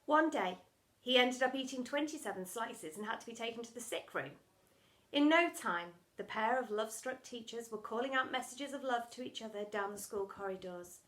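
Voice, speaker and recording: A woman reading a children's story aloud in an animated, theatrical storytelling style. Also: a little high, moderate pace, echoey room. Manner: expressive, theatrical delivery with acted sound words.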